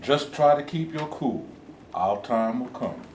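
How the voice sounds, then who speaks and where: A man in a staged reading playing a patient, steady civil-rights reverend who urges caution. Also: mid-range voice, unhurried, natural voice. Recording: echoing room, distant mic, faint noise.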